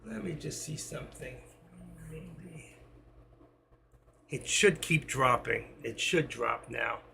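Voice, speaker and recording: Man, live trading stream host, heavy vocal fry, bright edgy tone, losing his voice, quiet asides. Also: fairly high pitch, lively intonation, takes it slowly, slight background noise. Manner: talks to chat while watching charts, quiet asides between sign-offs. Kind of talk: live market commentary to viewers.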